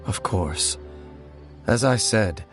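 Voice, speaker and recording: Deep, brooding betrayed warrior. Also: male voice, animated. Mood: brooding, resentful. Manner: measured, solemn, formal diction. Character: grim, brooding male warrior betrayed by his kin. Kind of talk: video game dialogue acting. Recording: music under the voice.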